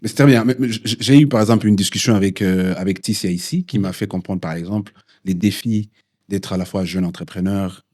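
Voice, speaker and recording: Guy hosting a talk show, deep-ish mellow voice, trips over his words asking stuff. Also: brisk. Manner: brief prompts and stumbling, repeated words in questions. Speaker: interview host. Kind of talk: interviewing.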